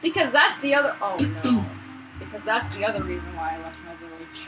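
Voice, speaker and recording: A woman reading a visual novel aloud and bantering casually, with animated, slangy reactions. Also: medium pitch, brisk, music in the background. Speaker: let's play co-host. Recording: noisy makeshift mic, room ambience.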